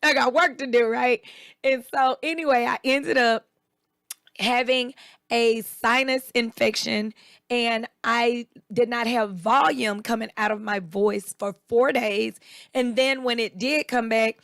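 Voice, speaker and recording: A woman with a raspy, slightly hoarse voice, chatting casually as co-host and acting out the people in her stories. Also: on the high side, unhurried, no room sound, clean studio sound. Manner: animated storyteller, quotes people in raised voices. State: recovering voice, a bit hoarse. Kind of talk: casual chat.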